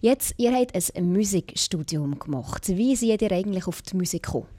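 A woman's voice: mid-range voice, lively intonation, normal speed, dark tone. A woman with a Swiss German accent, hosting a conversational radio interview.

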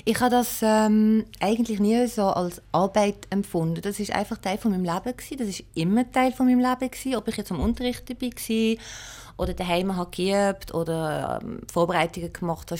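A woman's voice: medium pitch, fairly quick, a little mellow. A woman from Belgium and Luxembourg, answering in a conversational radio interview, reflective and matter-of-fact. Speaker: musician interview guest, piano and singing teacher.

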